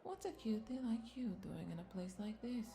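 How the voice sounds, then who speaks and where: A woman's voice: fairly high pitch, moderate pace, gravelly. A woman playing a flirty, doting vampire bartender in a roleplay audio drama, coaxing and affectionate as she speaks to the listener. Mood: sweet, welcoming. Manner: coaxing, affectionate, lingering over words with soft chuckles.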